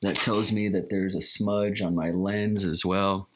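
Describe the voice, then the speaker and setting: A man's voice: low-pitched, steady tone, a little slow, background noise. A man in his thirties hosting his own show, talking earnestly and candidly in a rambling, reflective monologue with repeated phrases. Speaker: podcast host and videographer.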